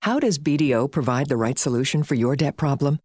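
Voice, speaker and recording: A woman's voice: very deep voice, fairly quick, darkish tone, husky. A woman reading a radio commercial in a reassuring way. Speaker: ad announcer.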